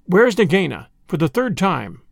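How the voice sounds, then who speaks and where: A brave young mongoose, played by a man reading a storybook speaks in a brisk, determined way. His voice is fairly high with a gravelly grain. It sounds dry and close. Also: very animated, dry recording, heavily acted. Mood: impatient.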